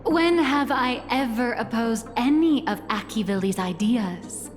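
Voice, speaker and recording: A woman's voice: fairly high pitch, expressive, unhurried. A woman playing a playful, assured ancient being in a video game, emphatic and teasing, with music behind her. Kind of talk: scripted video game voice acting.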